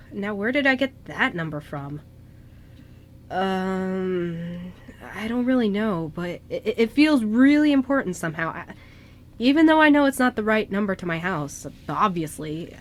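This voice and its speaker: A woman voices a forgetful, playful young guy who happens to be a ghost. The pitch moves around a lot, and the casual delivery halts and hesitates, as in a homemade radio drama. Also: lively intonation, unhurried, pauses often, natural voice. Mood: confused, hesitant. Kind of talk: amateur online radio drama reading.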